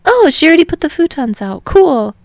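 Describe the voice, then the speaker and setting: An energetic young man played by a woman in an audiobook, pitched well above the narration. He talks in a friendly, chatty way with a touch of uncertainty, recorded close and dry. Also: a little fast, creaky, no echo, heavily acted. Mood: upbeat, casual. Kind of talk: fan fiction audiobook dialogue.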